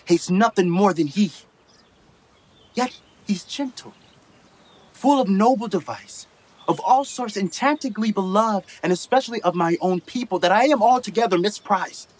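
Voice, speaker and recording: A young man playing a bitter, scheming elder brother, a haughty nobleman, with venomous, deliberate Shakespearean delivery in a radio play. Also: high voice, expressive. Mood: brooding, resentful. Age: young adult.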